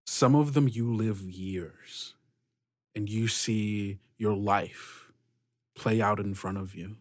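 A man narrating a tabletop roleplaying game as game master, improvising in short, halting phrases. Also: fairly low pitch, steady tone, no room sound, clean studio sound.

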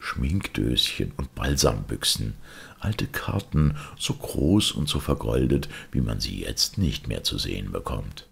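Very deep, gravelly male storyteller. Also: lively intonation, measured pace, fairly bright tone. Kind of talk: expressive fairy-tale narration.

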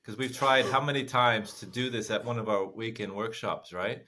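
An American man in his forties from the Midwest co-hosts a podcast over a video call. His voice sits low, and he talks in a jokey, animated way. He speaks through earphones from a small room at home. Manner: jokey, animated, quick to laugh. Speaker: podcast co-host. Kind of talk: casual video-call chat. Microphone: earphone headset on a video call.

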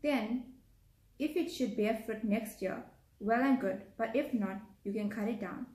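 A woman's voice: a little high, a little slow, dark tone. A young woman gives a formal Bible reading aloud in a living room. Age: young adult. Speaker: scripture reader. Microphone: camera across a table. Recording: echoey home room.

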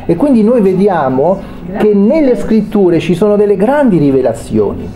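A man preaching a sermon, reading a Bible passage aloud and then explaining it with pauses and restarts. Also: high-pitched, very animated, dark tone, faint music behind. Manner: reads scripture then explains it, hesitant pauses.

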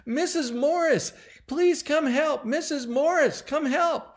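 An anxious young girl from a children's story, put on by a man. The pitch is high and lively, the delivery earnest and urgent. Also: unhurried, slightly rough, heavily acted. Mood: panicked.

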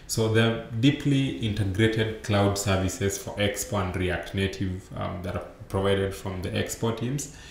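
A young man with a deep, low voice walks through a software talk from an indoor room, speaking loosely with frequent fillers and self-corrections. His laptop mic picks up a roomy echo and a faint hum of background noise. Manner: explanatory, loose, many fillers and restarts. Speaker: conference speaker. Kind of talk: remote tech conference talk over slides.

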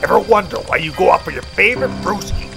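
A man's voice: sits high, animated, fast talker, gravelly. A man playing a chatty, keen hunting companion to a princess in an amateur audio drama. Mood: keen, friendly. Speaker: voice actor. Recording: music over the voice.

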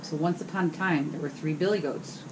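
Middle-aged woman, storybook reader, lowish voice, faint hiss behind. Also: fairly quick. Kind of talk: reading a fairy tale aloud. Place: hotel bedroom, indoors.

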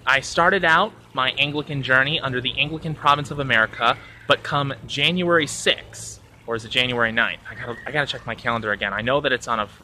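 Bright-voiced man in his thirties for a casual outdoor vlog, earnest and rambling, phone held at arm's length, faint traffic behind. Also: medium pitch, fast talker, few pauses, poor audio. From Florida. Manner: rambling, earnest, self-correcting. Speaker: vlogger. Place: outdoors by a church on a sunny day. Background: faint traffic and outdoor ambience.

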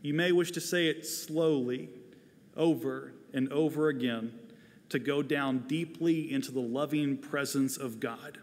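A man in his forties delivering a prepared sermon as a pastor, earnest and deliberate, speaking into a lapel mic in a large church. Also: medium pitch, slight background noise. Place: large church sanctuary, indoors, at a pulpit. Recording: long hall reverb of a large sanctuary.

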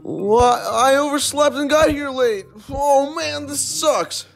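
Man putting on a needy young pal, very high voice, sulky, dramatic, complaining, kids' gaming cartoon, music behind. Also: slow pace, vocal fry, full character voice. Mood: indignant, hurt.

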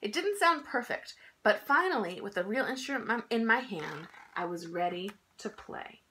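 A woman in her thirties reading a children's picture book aloud in an expressive, emphatic storytelling style. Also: medium pitch, normal speed, low-quality recording. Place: small indoor room at home.

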